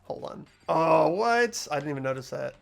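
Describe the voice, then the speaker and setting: Male gaming host, casual offhand muttering, lightish pitch, music under the chat. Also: expressive, normal speed, creaky. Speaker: playthrough host and player.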